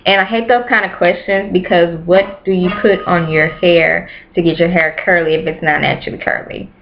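A woman's voice: lowish voice, fairly even intonation, moderate pace, a little hiss or hum. A woman in her late twenties chatting casually to camera in a small bedroom, repeating herself for emphasis. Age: 20s. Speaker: YouTuber. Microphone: webcam at close range. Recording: dull lo-fi webcam audio.

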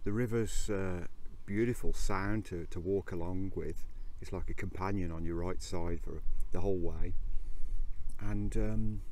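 A man in his fifties vlogging casually to a handheld phone while hiking outdoors, chatty and relaxed with some fillers. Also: low-pitched, unhurried. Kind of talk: casual outdoor vlog to camera. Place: open fields and village streets outdoors. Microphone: phone held at arm's length. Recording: faint outdoor noise.

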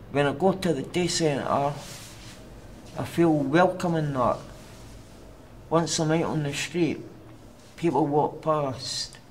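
A young Glaswegian man speaks slowly and haltingly, pausing often as he tells his own story. His voice sits a little high for a man. He is recorded close up outdoors on a city street at night. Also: slight background noise, poor audio. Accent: Glaswegian Scottish. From Scotland. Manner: halting, plain and slow, with pauses and fillers. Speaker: documentary interviewee. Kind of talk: personal testimony to camera.

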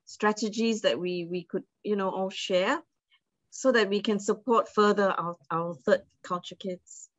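A woman's voice: medium pitch, no echo, dull audio. A woman hosting and moderating an online forum over a video call, talking with many fillers and restarts. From Singapore. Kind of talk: online webinar introduction.

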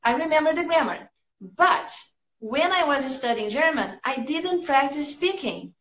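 A woman in her thirties from Brazil, a native Portuguese speaker with a Brazilian accent, chatting casually as an English teacher on a livestream, upbeat and quick to laugh. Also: high voice, expressive, a bit bright, slight background noise. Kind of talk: casual livestream chat answering viewers' comments. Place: small room at home, indoors. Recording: echoey small room.

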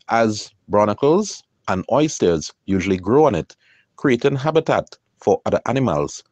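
A middle-aged man narrates in a calm, measured, explanatory way. His low voice rises and falls a good deal from phrase to phrase, and the recording is close and dry with no room sound. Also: no room sound. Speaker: kayaker and channel host. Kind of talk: scripted voice-over narration.

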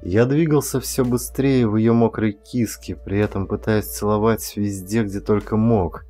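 A man's voice: on the low side, steady tone, measured pace, warm tone. A man reading a first-person story as a scripted, dramatic audiobook narration. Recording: music under the voice. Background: music.

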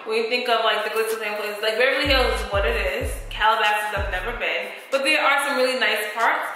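A woman's voice: fairly high pitch, quick pace, sharp tone, heavy reverb, in a large hall, faint music behind, rough recording. A young woman co-hosting a casual vlog, blunt and emphatic, with a sassy way of talking. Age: young adult. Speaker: vlogger, co-host. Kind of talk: casual chat. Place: bright room by a large window. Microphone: camera in front, framed side by side.

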